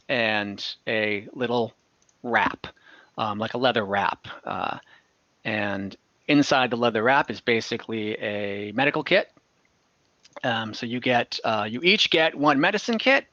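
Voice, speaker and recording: A man narrating a tabletop fantasy game as game master over a video call, in a halting, improvised way. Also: medium pitch, bright, forceful tone, no echo. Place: indoors at home, on a video call. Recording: slightly dull online call audio.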